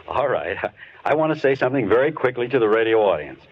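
A man hosting an old-time radio drama, addressing listeners in an earnest, measured way. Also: mid-range voice, very animated. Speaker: radio drama host and writer. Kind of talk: 1930s radio show host. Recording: dull old radio broadcast with hiss.

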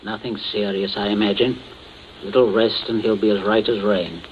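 A man's voice: on the low side, little pitch movement, moderate pace, close to an everyday voice. An actor playing a genteel, middle-aged father in a 1940s radio drama, suave and commanding, coldly menacing under false cheer. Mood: false geniality. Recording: muffled, noisy 1940s radio recording.

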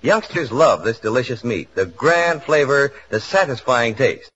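A young man working as a radio announcer, delivering a polished, emphatic 1940s-style commercial pitch. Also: lively intonation, measured pace, fairly bright tone. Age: young adult. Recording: muffled, noisy 1940s radio broadcast.

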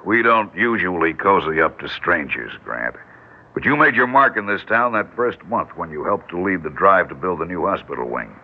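A man's voice: low voice, sing-song, moderate pace, slightly rough, full character voice. A man playing a blunt, folksy, commanding older campaign boss in an old-time radio drama. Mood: frank, fatherly. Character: older male small-town campaign boss, blunt and avuncular. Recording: tinny, noisy old radio broadcast recording.

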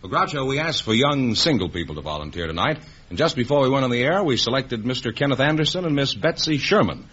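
A man's voice: medium pitch, expressive, fast, breathy edge. A man announcing a 1950s radio show from a script, in a formal, projected style. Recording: muffled old radio broadcast, loud music. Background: music.

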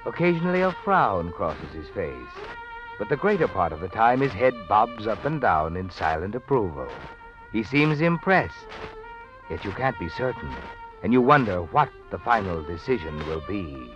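Low, knowing radio thriller narrator. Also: male voice, big pitch swings, normal speed. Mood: suspenseful. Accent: American. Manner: knowing, suspenseful, pointed. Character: omniscient, sardonic male storyteller needling the protagonist. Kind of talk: 1940s radio thriller narration, second person. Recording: dull vintage radio with music bed. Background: dramatic music.

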